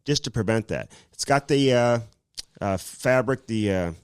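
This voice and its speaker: A rambling man co-hosting a casual podcast, a touch nasal as if he has a cold. His voice sits at an ordinary pitch, and the recording is close and dry with almost no room sound. He stumbles through sponsor reads with plenty of "uh" and restarts. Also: expressive, measured pace, clean tone, dry recording. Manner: rambling, filler-heavy delivery. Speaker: podcast co-host.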